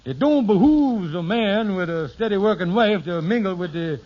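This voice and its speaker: An actor playing a lazy, scheming, self-important husband in an old-time radio sitcom, with folksy, ungrammatical, pompous speech. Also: male voice, high voice, animated, normal speed. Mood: pompous, sly. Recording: dull, noisy vintage radio recording.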